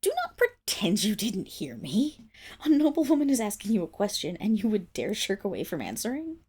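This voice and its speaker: A young woman playing a proud, flustered noble Fenrir monstergirl in an ASMR roleplay, speaking formally with stammers and breathy, whispered dips. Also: mid-range voice, sing-song, normal speed, a little mellow. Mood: haughty. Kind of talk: ASMR roleplay acting, addressed to the listener.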